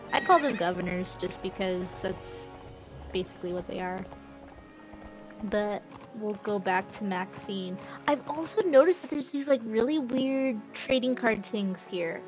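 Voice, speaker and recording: Woman streamer musing over loud game music. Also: medium pitch, measured pace, rough recording. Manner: musing, thinking aloud. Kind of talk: casual gameplay commentary. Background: video game music.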